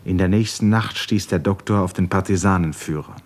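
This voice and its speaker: Grave, very deep-voiced male narrator. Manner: grave, measured, deliberate short phrases. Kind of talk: scripted literary radio drama narration.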